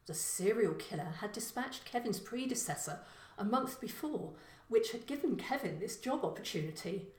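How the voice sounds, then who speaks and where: A woman reading a short story as scripted narration, in a measured, expressive storytelling manner. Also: on the high side, reverberant, low-quality recording.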